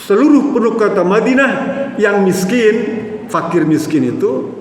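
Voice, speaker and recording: High-voiced preacher in an echoey hall. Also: male voice, lively intonation, normal speed, a little hiss or hum. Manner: addresses the audience as "Pak", tells stories with acted quotes. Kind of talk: Islamic sermon storytelling. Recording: echoey hall with faint ambience.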